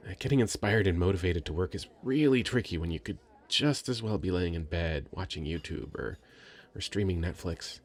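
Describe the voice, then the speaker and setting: A man playing a very shy, sweet young nerdy writer in an ASMR roleplay, hesitant, stammering and soft-spoken. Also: low voice, lively intonation, normal speed. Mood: warming up, chatty. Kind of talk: ASMR roleplay, addressing the listener.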